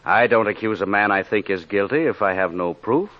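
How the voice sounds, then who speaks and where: An actor playing a cynical, patient, menacing police inspector in a deliberate, dry 1940s radio style. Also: male voice, fairly low pitch, lightly acted. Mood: cool, patient. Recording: muffled 1940s radio recording.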